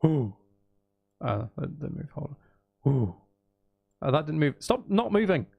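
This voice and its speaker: Playful, animated man chatting on a livestream. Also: a little high, measured pace, gravelly, husky, narrow, tinny audio. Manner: playful, silly, repeats phrases. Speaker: livestream host playing a video game. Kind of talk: casual gaming livestream chat.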